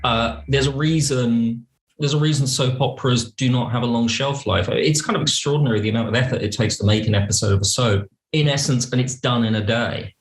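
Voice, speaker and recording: A man's voice: medium pitch, a little fast, roomy sound. A British man speaking conversationally as an interview guest on a podcast.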